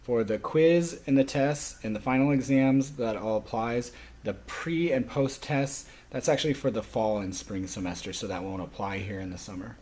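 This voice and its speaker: A man speaking as a college instructor, explaining course rules to a class in an instructional way with frequent short pauses. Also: medium pitch, darkish tone, a little hiss or hum. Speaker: college sign language instructor.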